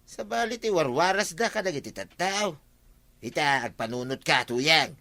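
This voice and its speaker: A nosy Ilocano villager in a radio comedy. His voice sits a little high and rings bright, pushing each point emphatically in a dry, close sound. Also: lively intonation, normal speed, no room sound. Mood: goading.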